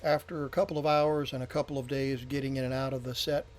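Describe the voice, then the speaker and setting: A husky-voiced man thinking aloud in a casual, halting mutter as he hosts a hands-on repair video. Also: mid-range voice, level tone. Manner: halting, muttering to himself while working. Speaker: repair hobbyist and video host.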